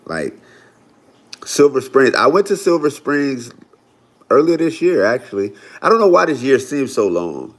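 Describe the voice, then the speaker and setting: Chatty man in his forties, lively. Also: medium pitch, measured pace. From Florida. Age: 40s. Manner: loose, chatty, lots of "y'all" and "right?". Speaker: livestream host. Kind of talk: casual livestream chat with viewers.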